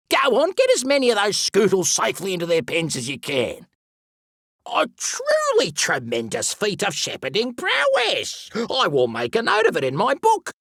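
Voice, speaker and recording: A man's voice: high-pitched, fairly bright tone, no echo, clean studio sound, full character voice. A man playing an eccentric, self-important Australian wildlife explorer in a video game, chatty and pompous, rambling through long muddled words. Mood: jovial, self-important. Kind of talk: animated video game character acting.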